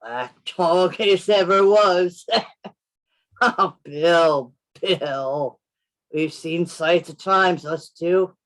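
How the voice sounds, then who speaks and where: A woman's voice: lowish voice, drawn-out words. A woman playing a sly, wheedling, falsely friendly male pirate sailor in a radio play. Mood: mock friendly. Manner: oily, wheedling, rustic sailor's grammar.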